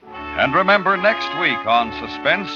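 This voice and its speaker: An American man announcing a suspense radio show in a formal, dramatic, deliberate 1950s style on a vintage recording with music behind him. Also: fairly high pitch, very animated, lo-fi sound.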